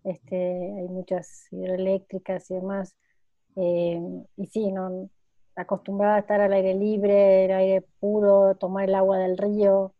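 A woman's voice: medium pitch, completely flat delivery, a little slow, dry recording, narrow, tinny audio. A woman from Patagonia, chatting casually as co-host. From Patagonia, Argentina. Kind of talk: casual chat.

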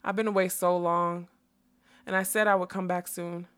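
Woman as an earnest, gentle female unicorn. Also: fairly low pitch, level tone, normal speed, smooth, lightly acted. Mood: pleading. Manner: earnest, pleading. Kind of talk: acted audiobook storytelling.

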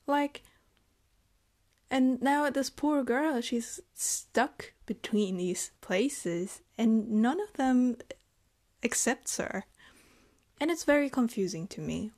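A young woman from Germany, speaking in a reflective personal vlog, thoughtful and hesitant, with halting phrasing. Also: a little high, animated, darkish tone. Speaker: vlogger.

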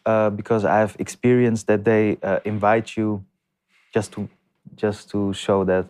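A man answering interview questions in a halting way, with many restarts and fillers. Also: on the low side, little pitch movement, darkish tone, clean tone. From came to the Netherlands as a refugee. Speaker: guest. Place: lives in north Amsterdam.

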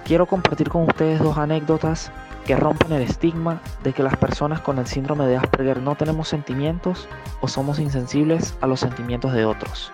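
A man's voice: a little high, fairly even intonation, fairly quick, loud music behind the voice. A man in his twenties with a Venezuelan accent, a nurse giving a composed, confident testimonial message. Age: 20s. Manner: composed and confident, speaking with conviction.

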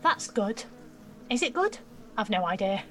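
A woman's voice: mid-range voice, very animated, measured pace, a bit bright, faint music behind. A woman playing a cheeky, mouthy nineteen-year-old apprentice in a naturalistic audio drama, flippant and slangy. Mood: curious, unsure. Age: young adult.

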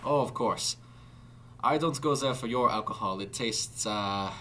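A man voicing a fussy, excitable German investigator of strange creatures, speaking haltingly with a German accent in a story. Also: medium pitch, a little hiss or hum. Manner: halting, searching for words.

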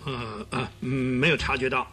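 An actor playing a questioning male official at an investigation in a radio drama, speaking in a halting way. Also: medium pitch, steady tone, sharp tone, soft background music, natural voice. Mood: hesitant.

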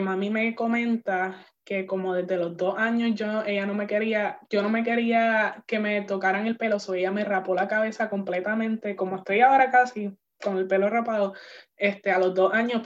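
A woman's voice: medium pitch, fairly even intonation, normal speed, highs cut off. A young woman guest telling personal stories candidly, mixing English words into her Spanish with many fillers. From United States. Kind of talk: personal storytelling in an interview.

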